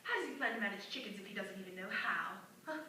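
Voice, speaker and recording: A woman's voice: mid-range voice, animated, fast talker, bright, forceful tone. A woman performing a stage monologue as a gushing, judgmental small-town gossip from long ago, effusive and haughty, in an echoey room. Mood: scornful gossiping. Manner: effusive, haughty, busybody exclaiming. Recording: echoey room from far off, noisy.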